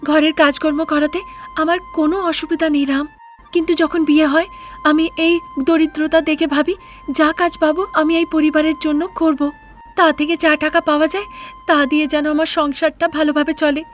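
A woman's voice: high-pitched, little pitch movement, brisk, faint music behind, pitched above the performer's own voice, natural voice. A woman voicing an honest, earnest young daughter-in-law in a cartoon tale, plaintive and pleading. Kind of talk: cartoon fairy-tale character acting.

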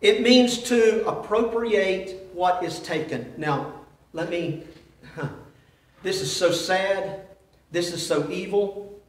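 An older man preaching a sermon in a small church, animated, pushing ahead quickly and then dropping low and quiet for emphasis. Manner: animated, gesturing preacher who speeds up and drops quiet for emphasis. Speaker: pastor. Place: small church sanctuary, indoors. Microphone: far camera, no visible mic. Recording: roomy echo, distant mic.

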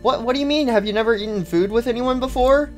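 A man's voice: very high voice, fast talker, a little mellow, faint music behind. A man voicing a chirpy, friendly, oblivious female toy chicken animatronic in a comedy cartoon, chatting in a flustered, rambling way. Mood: chatty. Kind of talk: amateur comedy cartoon dialogue.

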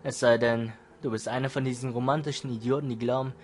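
A man's voice: medium pitch, fairly even intonation, a little fast, lightly acted. A man playing a cocky, taunting teenage bully with a smirking, mocking manner in an amateur audio drama.

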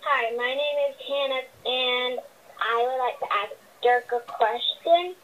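A woman's voice: sits high, a little slow, fairly bright tone, low-quality recording. A young girl leaving a question for a radio show as a phone-in caller. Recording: phone message replayed, some noise.

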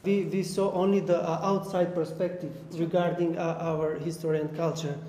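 A man's voice: on the high side, level tone, moderate pace, dark tone. Hesitant young man, echoey hall. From Albania. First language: Romani. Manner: hesitant, many repeated words and fillers. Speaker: artist panelist. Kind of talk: panel discussion. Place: art gallery room. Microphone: stand mic. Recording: echoey hall, faint noise.

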